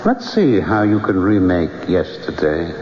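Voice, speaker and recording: Otherworldly fate-dealer: deep, echoing, sardonic and theatrical, a teasing gambler in an old radio play. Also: male voice, wildly swooping pitch, unhurried, heavily acted. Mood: wryly amused. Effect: echo. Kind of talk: 1970s radio drama acting. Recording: echoing muffled old radio, loud music behind.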